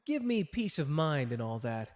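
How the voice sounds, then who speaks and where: A man's voice: on the high side, very animated, moderate pace, no echo. A man playing a cocky, tender young male sorcerer in an intimate ASMR romance roleplay, with a playful, teasing, casual manner.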